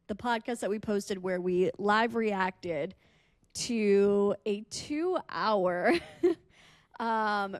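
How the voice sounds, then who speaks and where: A woman's voice: medium pitch, no echo. American woman podcast host, jokey storytelling, chatty, really dry close sound. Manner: joking, teasing. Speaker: radio personality and podcast co-host. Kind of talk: casual podcast chat and storytelling.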